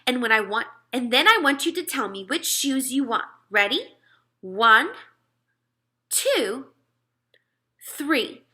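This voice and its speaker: A woman's voice: high voice, big pitch swings, slow pace, long pauses, sharp tone, voice pushed up, full character voice. A woman voicing a no-nonsense storybook mother who talks firmly and coaxingly, as if reasoning with a child. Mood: stern. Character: no-nonsense mother of two young boys, firm, coaxing.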